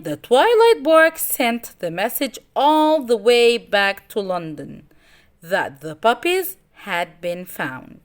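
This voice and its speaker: A woman reading a children's story aloud in an expressive, dramatic way. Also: a little high. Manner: expressive, dramatic, deliberate phrasing.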